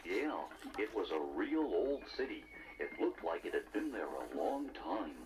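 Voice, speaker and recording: A man's voice: deep voice, big pitch swings, unhurried, background noise. A man voicing an eager, naive young sidekick creature in a children's read-along story, with a wide-eyed, exclaiming manner. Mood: eager narrating. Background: music.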